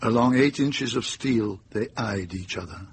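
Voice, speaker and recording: A man giving scripted literary narration for a radio drama in a measured, atmospheric manner. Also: fairly low pitch, a little hiss or hum.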